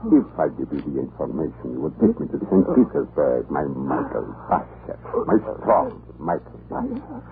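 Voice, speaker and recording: Very deep, menacing island commandant. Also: male voice, wildly swooping pitch, vocal fry, full character voice. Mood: murderous rage. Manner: menacing, slow mock politeness breaking into rage. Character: cruel, jealous Russian prison island commandant. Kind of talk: old-time radio drama acting. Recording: scratchy muffled vintage radio broadcast.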